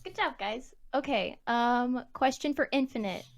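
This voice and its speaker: A woman's voice: sits high, a little slow, gravelly. A woman hosting a casual group Q&A in a giggly, encouraging manner. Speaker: host, comic creator.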